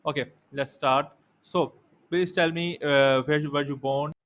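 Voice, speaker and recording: Even-toned man interviewing, non-native English. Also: a little high. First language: not English. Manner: halting, repeats words, courteous. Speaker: podcast host, interviewer. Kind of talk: formal conversational interview. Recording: faint background noise.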